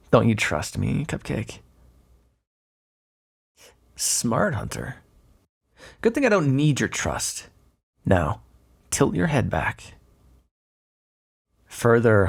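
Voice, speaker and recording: A man playing a smug, predatory vampire in an ASMR roleplay, taunting and flirtatious, with a gravelly, breathy voice. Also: lowish voice, sing-song, takes it slowly, pauses often, full character voice. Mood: predatory, commanding. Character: predatory, teasing male vampire, smug and seductive.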